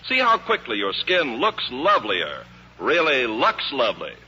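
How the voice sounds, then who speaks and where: Male 1950s radio announcer, animated and salesmanlike, piercingly bright tone, vintage broadcast with muffled sound. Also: medium pitch, normal speed. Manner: polished salesmanlike delivery. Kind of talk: 1950s radio scripted ad patter. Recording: dull, faintly noisy old radio broadcast.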